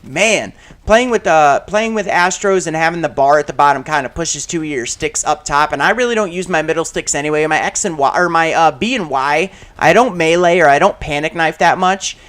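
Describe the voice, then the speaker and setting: A man with a slightly high, raspy voice talks through a shooter game session in a loose, rambling way. He piles on fillers and restarts, and breaks off into loud shouts at the action. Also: fairly quick. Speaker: gaming channel host. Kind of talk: casual commentary while playing a shooter game.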